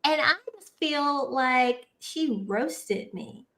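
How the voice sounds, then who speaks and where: High, bright-voiced woman hosting. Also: extremely slow. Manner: lively, playful, Southern-style "girl" and "y'all" banter. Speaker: podcast host. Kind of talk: casual livestream chat. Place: home, on a video call.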